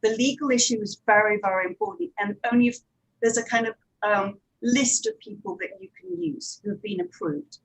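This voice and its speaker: A woman with a slightly high voice talks on a panel as a producer. She explains practical matters step by step, pausing and hesitating as she goes. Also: animated, vocal fry, rough recording. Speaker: audio drama producer and director, panelist.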